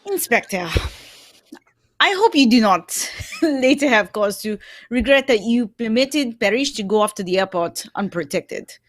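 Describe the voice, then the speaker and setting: Casting: woman as fussy, courteous Belgian sleuth, animated delivery, dry close sound, radio mystery. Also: fairly high pitch, a little slow, dry recording. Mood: composed, wry. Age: young adult. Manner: theatrical, courteous, precise. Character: Poirot, fussy, self-assured Belgian male detective. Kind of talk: live reading of old-time radio mystery script.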